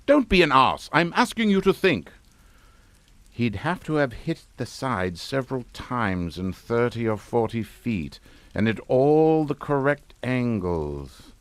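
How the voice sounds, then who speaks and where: Domineering, pompous English father, animated delivery. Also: medium pitch, a little slow, no echo. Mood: stern, urgent. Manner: pompous, scolding, emphatic. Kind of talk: radio suspense acting.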